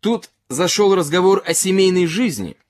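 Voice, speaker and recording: A man's voice: high voice, animated, moderate pace, darkish tone, dry recording, pitched above the performer's own voice. A man voicing a tipsy, earnest character in a literary story who philosophises courteously and emphatically, in a rambling way. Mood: insistent, philosophical.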